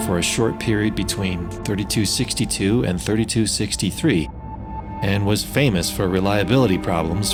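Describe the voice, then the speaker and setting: A man playing a spaceship pilot who tells his own adventure in a measured, dry storytelling manner for a sci-fi audio drama. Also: fairly low pitch, lightly acted. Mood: dry, informative. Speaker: narrator and lead actor. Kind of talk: first-person sci-fi audio story narration.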